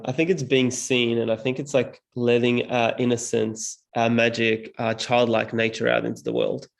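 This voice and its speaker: A young man from Serbia, whose first language is Serbian, talks in an earnest, reflective way as an interview guest. His voice has a gravelly fry and stays in a narrow pitch band. He sits at a middle pitch and leans on casual fillers as he thinks aloud. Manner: thoughtful, earnest, frequent "like" fillers. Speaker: guest, public speaking teacher.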